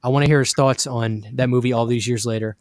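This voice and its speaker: A man hosting a casual live horror stream, enthusiastic and emphatic, repeating pet phrases, a little hoarse from losing his voice. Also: medium pitch, steady tone, quick pace, no echo, studio quality. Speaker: horror channel host.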